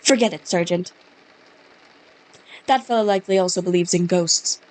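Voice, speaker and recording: A woman's voice: fairly low pitch, normal speed, a little mellow, natural voice, rough recording. A woman reading game dialogue as a curt, dismissive male police officer, using a put-on Scottish accent. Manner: curt, scornful, brushes off the witness.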